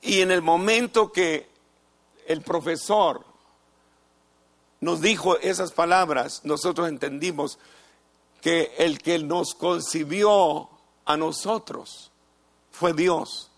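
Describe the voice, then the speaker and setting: A middle-aged man preaches with an unhurried, measured delivery. His voice sits on the higher side, and he repeats phrases and lets pauses hang between them. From United States. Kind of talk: sermon.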